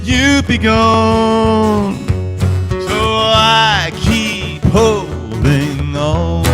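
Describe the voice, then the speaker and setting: Male country singer, slow sustained lines, over clear guitar accompaniment. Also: high-pitched. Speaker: singer-songwriter. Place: live-streamed music show at a venue.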